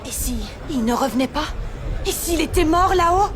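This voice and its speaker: A worried, devout sister speaks in a high voice that swings expressively. Her delivery is anxious and emotional, in Bible cartoon style with music behind. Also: expressive, moderate pace, crowd noise. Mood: fearful. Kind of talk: animated Bible film acting.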